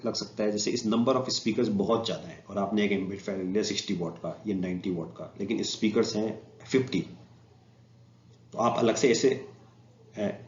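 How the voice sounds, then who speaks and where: A man with an Indian accent giving an informal slide lecture as an instructor, mixing Hindi with English technical terms. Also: fairly low pitch. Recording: echoey room, muffled lo-fi, faint noise.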